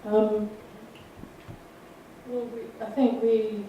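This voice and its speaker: A woman, a film director, answering an audience question with frequent pauses and fillers. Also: medium pitch, level tone, dull audio. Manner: hesitant, filler-heavy. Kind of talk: festival Q&A answer. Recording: echoey hall, distant mic, noisy.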